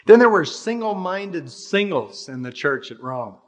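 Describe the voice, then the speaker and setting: An older American man preaching an expository sermon in a measured, deliberate way, stressing phrases and letting the endings fall away. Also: high voice, big pitch swings. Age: older, about 60. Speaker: pastor. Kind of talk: expository sermon with scripture readings.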